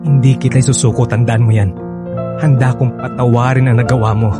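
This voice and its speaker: An actor with a Filipino accent playing an earnest, persistent young ex-boyfriend in a radio drama. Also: male voice, medium pitch, level tone, a little fast, loud music behind the voice, lightly acted. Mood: pleading, insistent. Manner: earnest, insistent, sweet-talking.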